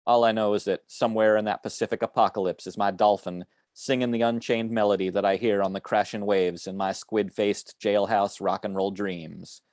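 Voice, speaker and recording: A man reads his own weird fiction over a video call, wry and deadpan, and sometimes stretches a line out slowly. His voice sits on the low side and is recorded close and dry, with no room sound. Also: no echo. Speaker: author reading his fiction. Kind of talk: reading a story aloud. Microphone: home computer mic on a video call.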